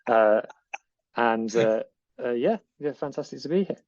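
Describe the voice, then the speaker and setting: A man's voice: mid-range voice, animated, unhurried, a little mellow, no room sound, dull audio. A man with a Northwest English accent, co-presenting a podcast in an eager, rambling way. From northwest England. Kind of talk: casual podcast chat.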